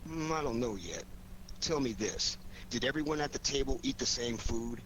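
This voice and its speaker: Man as cool radio-drama sleuth, mid-pitched, shrewd, wry, probing, noisy recording. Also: lively intonation, moderate pace, a bit bright, close to an everyday voice.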